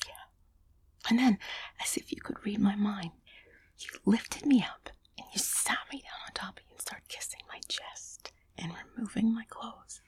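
A young adult woman telling an intimate story in a close, breathy ASMR whisper. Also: mid-range voice, unhurried, low-quality recording.

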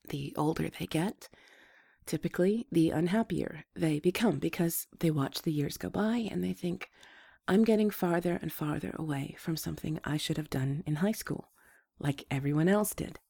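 A woman with a rough voice, hoarse from a flu, hosting a gentle and reassuring advice talk to her listeners. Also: deep voice, normal speed, dry recording, studio quality. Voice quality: rough, slightly congested voice. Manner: gentle, reassuring, restarts phrases. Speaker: advice show host.

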